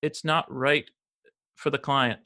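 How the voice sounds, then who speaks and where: American guy, 50s, gravelly, bright tone, lots of restarts and ums. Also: medium pitch, fairly even intonation, slow. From United States. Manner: rambling, frequent restarts and fillers. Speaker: podcast guest, financial advisor. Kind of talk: casual interview conversation.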